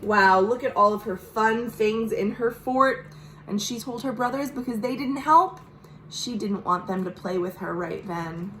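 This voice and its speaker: A woman's voice: fairly high pitch, measured pace, a little hiss or hum, poor audio. A woman librarian reading a picture book aloud for children at home, speaking expressively and talking to the kids directly. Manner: warm, expressive, addresses children. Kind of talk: virtual storytime read-aloud.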